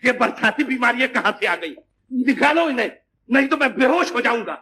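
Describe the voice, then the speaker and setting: A man's voice: very high voice, animated, a little fast, pushed and bright, slight background noise, heavily acted. An actor playing a haughty, irritable, sarcastic wealthy father in a fussy 1950s Hindi film style. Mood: irritated. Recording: old 1950s film soundtrack with music.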